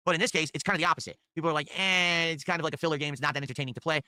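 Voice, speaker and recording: Fast, bright-voiced male streamer. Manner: upbeat hype pitching for tips. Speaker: streamer host. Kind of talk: casual stream talk.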